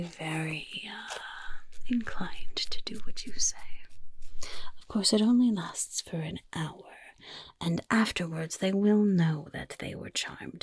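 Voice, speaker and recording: Woman playing a whispering, creaky goblin rogue. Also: medium pitch. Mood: coaxing, intrigued. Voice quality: soft breathy whisper with creaky edges. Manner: coaxing, unhurried, repeats words for emphasis. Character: friendly, sly female goblin rogue quartermaster. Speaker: roleplay ASMR performer. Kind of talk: whispered ASMR roleplay.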